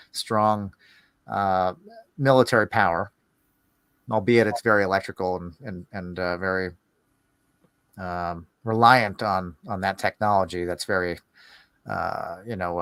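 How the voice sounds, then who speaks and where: A man hosting a podcast in casual, rambling talk, musing aloud in halting phrases. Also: sits low, steady tone, fairly bright tone, clear, resonant tone, dry recording. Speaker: podcast host.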